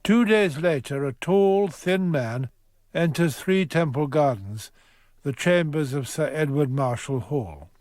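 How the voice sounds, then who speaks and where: Higher-pitched dramatic male narrator, dry sound. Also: lively intonation, a little slow, darkish tone, no room sound. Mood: measured. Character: crisp, dramatic true-crime story narrator. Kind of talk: scripted narration.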